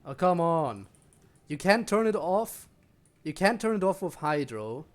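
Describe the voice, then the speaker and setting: A man's voice: on the high side, very animated, a little slow. A man streaming a game with casual live commentary, making wry asides and talking to himself.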